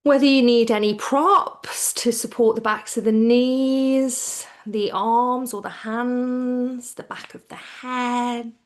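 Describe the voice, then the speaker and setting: A woman teaching yoga nidra as a guided relaxation, soothing and hushed, with phrases that trail toward a whisper. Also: a little high, fairly even intonation, slow.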